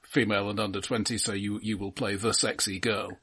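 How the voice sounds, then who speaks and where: A man's voice: lowish voice, flat delivery, fairly quick, fairly bright tone, a little hiss or hum. A man co-hosting a podcast, discussing film casually and stammering over the starts of his phrases. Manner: stammering, repeating word starts. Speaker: podcast co-host.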